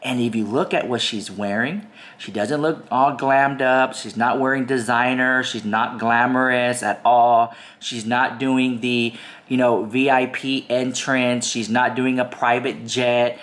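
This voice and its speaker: A man's voice: mid-range voice, steady tone, measured pace, a bit bright. A man with a stuffy cold, chatting to viewers in a gossip commentary video and repeating himself for emphasis. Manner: chatty, addresses viewers directly, emphatic repetition. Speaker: YouTube commentary host. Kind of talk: casual gossip commentary to camera.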